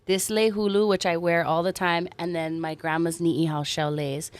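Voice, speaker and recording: A woman's voice: on the low side, normal speed, dry recording. A woman from Hawaii in her thirties, a singer-songwriter talking earnestly and animatedly about her music and roots in an interview. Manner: warm, earnest, animated storytelling with soft laughs. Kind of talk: casual interview chat.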